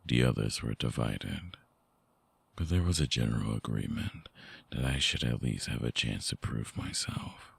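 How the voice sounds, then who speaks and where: A man playing a brooding, vulnerable vampire ex-lover in an intimate ASMR audio drama, hushed and halting, with a breathy, husky, gravelly voice close to the mic. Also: rumbling low, no room sound, full character voice. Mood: somber confession. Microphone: close binaural microphone, dry.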